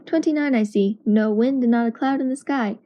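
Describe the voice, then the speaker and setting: A woman playing a brisk, self-assured male detective in an amateur audio drama, speaking formally and analytically. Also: fairly high pitch, dull audio, a little hiss or hum, close to an everyday voice. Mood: dry, unhurried.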